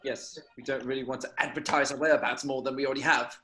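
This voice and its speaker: A man's voice: mid-range voice, steady tone, fast talker, bright, forceful tone, natural voice. A man playing a prissy, sarcastic pessimist in an amateur table read, with a fussy manner.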